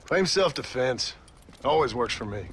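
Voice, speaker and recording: An actor playing a dry-humoured American gunslinger in a Western game, laconic and deadpan, with a Western drawl. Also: male voice, mid-range voice, normal speed, a little hiss or hum, natural voice. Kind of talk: video game cutscene acting.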